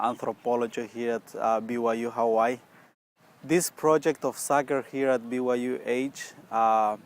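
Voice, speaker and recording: A young man from Guatemala, an anthropology student, introducing himself in a casual way with many hesitant pauses. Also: mid-range voice.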